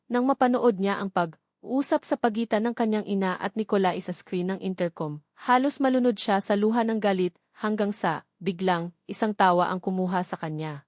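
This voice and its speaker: A woman's voice: medium pitch, no room sound, clean studio sound. A woman reading a scripted story as its narrator.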